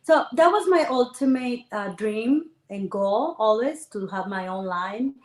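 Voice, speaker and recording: A woman from the Dominican Republic, a guest entrepreneur telling her story in a lively, animated conversational interview. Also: on the high side, measured pace. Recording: echoey room.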